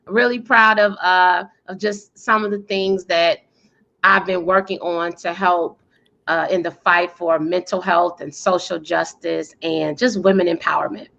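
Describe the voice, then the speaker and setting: A woman's voice: on the low side, fairly even intonation, unhurried, gravelly, highs cut off. An American woman giving articulate, emphatic answers as an interview guest, with a powerful, self-assured voice. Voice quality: loud, powerful voice. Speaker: interview guest, PR coach and speaker.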